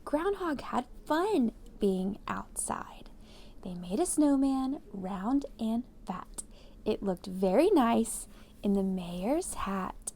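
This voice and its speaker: A woman's voice: fairly high pitch, sing-song, slow, warm tone. A woman hosting a children's read-aloud, telling the story expressively and putting questions to young listeners. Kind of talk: storybook narration with asides to children.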